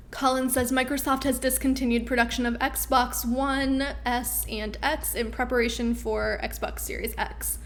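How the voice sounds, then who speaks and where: Upbeat woman host, fairly high voice. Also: steady tone, slow pace, a little hiss or hum. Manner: chatty, upbeat, with frequent ums. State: losing her voice. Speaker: host of a live Q&A stream. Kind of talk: casual live-stream chat reading viewer questions.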